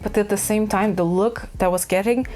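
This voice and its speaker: A woman's voice: medium pitch, steady tone, moderate pace, darkish tone. A woman from Ukraine chatting casually to camera about her craft projects, rambling with many fillers and restarts. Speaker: craft vlogger.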